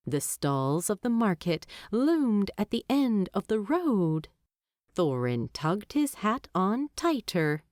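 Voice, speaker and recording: Slow, very animated woman storyteller. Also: mid-range voice, no room sound, studio quality. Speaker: audiobook narrator. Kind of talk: scripted fiction narration.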